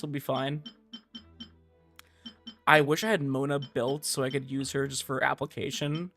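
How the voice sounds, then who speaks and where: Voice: medium pitch, creaky, a bit bright. Easygoing game streamer over game music. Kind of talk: casual live gameplay commentary. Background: video game music.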